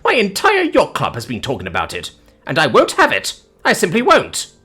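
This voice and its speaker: An indignant, snobbish noblewoman from an audiobook, acted by a man, scolds in pompous, ornate phrases. The voice is pitched up and swoops dramatically, with a slightly husky grain. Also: wildly swooping pitch, normal speed, pushed and bright, faint music behind, heavily acted. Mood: indignant, haughty. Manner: pompous, admonishing, ornate speech.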